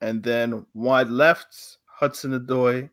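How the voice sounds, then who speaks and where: A man's voice: mid-range voice, fairly even intonation, takes it slowly, a bit bright. A man co-hosting a casual football chat, laid-back and joking, reading out listener questions and laughing often. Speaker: football podcast co-host.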